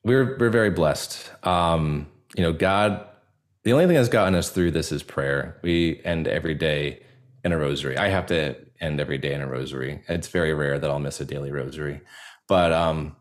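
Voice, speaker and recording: A man speaking reflectively as a podcast guest, earnest and halting, with a self-deprecating way of talking. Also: a bass voice. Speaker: podcast guest, audio drama creator. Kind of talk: reflective interview conversation.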